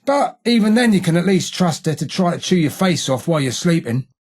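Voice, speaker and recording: An actor playing a gruff, streetwise London gang tough in a video game, with a rough gravelly British working-class accent and blunt, slangy, taunting delivery. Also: male voice, fairly high pitch, sing-song, fairly quick, darkish tone. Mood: gruff, confiding. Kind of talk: video game character voice lines.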